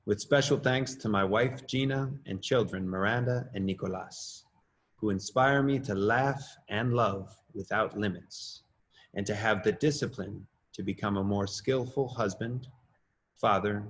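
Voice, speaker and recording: A middle-aged man, an assistant principal, reading his own children's story aloud with lively rises in pitch. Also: measured pace. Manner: expressive, lively rises on questions and exclamations.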